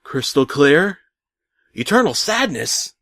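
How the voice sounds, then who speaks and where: A man narrating a comedic first-person horror story in a dramatic way, swinging between hushed lines and exclamations. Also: on the high side, sing-song, slow, no echo. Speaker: creepypasta narrator.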